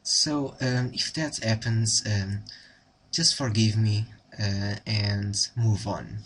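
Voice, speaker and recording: Man, Portuguese accent, young adult, tutorial host, hesitant, bright tone, lowish pitch. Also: fairly even intonation, slow pace, slight background noise. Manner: hesitant, many ums and restarts. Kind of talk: casual spoken tutorial.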